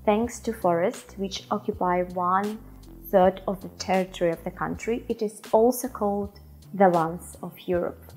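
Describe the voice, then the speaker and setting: A woman's voice: lowish voice, husky, low-quality recording. A woman from Belarus, earnestly reciting a scripted introduction to her country.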